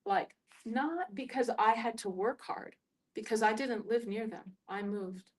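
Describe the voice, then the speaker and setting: A middle-aged American woman from New York facilitating a group discussion, musing aloud and telling personal stories in halting phrases. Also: a little high, recorded from a distance. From New York, United States.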